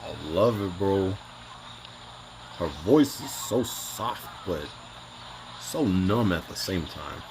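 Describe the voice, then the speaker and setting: A man's voice: sits low, animated, slow, long pauses, background noise. A man reacting casually and off the cuff as he hosts a reaction video.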